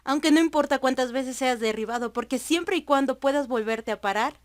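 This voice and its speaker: A woman's voice: high voice, fairly quick, lightly acted. A woman voicing a young female animator in a heartfelt, dramatic first-person confession for a fan dub. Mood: subdued, resolute.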